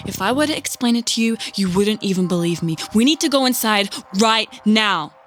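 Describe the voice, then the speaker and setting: A man voicing a bold young woman resistance fighter, urgent and excited. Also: high voice, brisk, music in the background, pitched above the performer's own voice.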